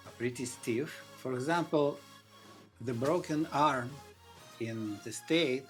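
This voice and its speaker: A middle-aged man, a guest on a podcast interview, often pausing to search for words. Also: medium pitch. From Russia. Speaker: insurance agent guest.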